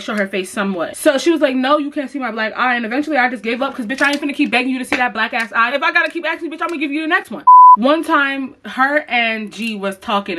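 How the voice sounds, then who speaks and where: A young woman with a deep voice tells a story straight to camera, quick and blunt, full of profanity. Her tone is bright and cutting, and she plays out the back-and-forth between the people in it. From United States. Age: young adult. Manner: blunt, profane, animated storytelling with quoted dialogue. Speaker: YouTuber. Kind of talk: casual storytime vlog.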